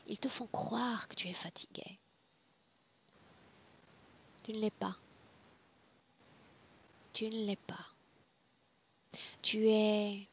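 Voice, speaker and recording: Slow, hushed woman with a creaky voice. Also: mid-range voice, slight background noise. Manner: hushed, breathy, deliberate, commanding. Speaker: spiritual hypnosis session guide. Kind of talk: guided trance session, slow solemn address.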